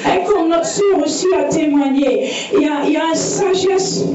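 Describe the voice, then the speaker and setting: High-voiced Dutch-accented woman pastor preaching. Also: moderate pace, a crowd in the background. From Netherlands. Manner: lively preaching, frequent amens, hesitant fillers. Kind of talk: sermon with personal testimony. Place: church hall with congregation. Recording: echoey, distant, lo-fi church recording. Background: congregation answering amen.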